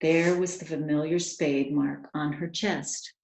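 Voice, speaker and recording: Slow, creaky-voiced woman reading a storybook. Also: low-pitched, steady tone, a little mellow. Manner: dramatic, earnest storytelling. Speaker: children's author reading aloud. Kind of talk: expressive storybook read-aloud narration. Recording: roomy, echoing home recording.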